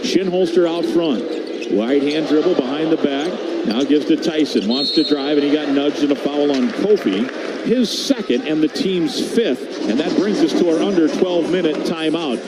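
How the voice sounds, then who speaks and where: A man's voice: a little high, lively intonation, normal speed. A man calling live basketball play-by-play on the radio, over arena noise. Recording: noisy broadcast with arena ambience.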